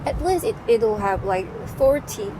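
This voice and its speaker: A woman's voice: a little high, expressive, measured pace. A young woman with a Korean accent, answering a class's questions in a hesitant, casual way with many fillers and restarts. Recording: noisy outdoor recording with traffic.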